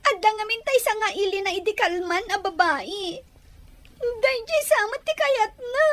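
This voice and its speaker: A woman's voice: very high-pitched, expressive, moderate pace, fairly bright tone. A woman playing a stubborn, whiny young village woman in an audio drama, pleading and scheming. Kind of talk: Ilocano audio drama acting.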